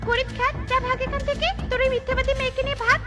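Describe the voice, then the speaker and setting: A woman voicing a haughty, cruel peahen boss in a cartoon, scolding with sharp contempt. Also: extremely high pitch, level tone, very fast. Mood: angry, dismissive. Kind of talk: Bengali fairy-tale cartoon dubbing. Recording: music bed behind the voice.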